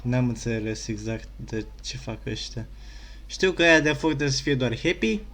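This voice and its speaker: A man's voice: mid-range voice, animated, moderate pace, fairly bright tone. A Romanian man giving casual game commentary in an offhand, rambling way, swearing mildly. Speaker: gaming streamer. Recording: faint noise, keyboard clicks.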